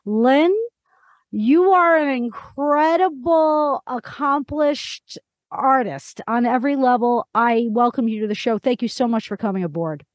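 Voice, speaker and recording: A woman hosts an interview podcast. Her voice sits fairly high. The recording is dry and close, with very little room sound. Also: a little slow, no echo. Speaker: podcast host. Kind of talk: scripted introduction, then conversational interview.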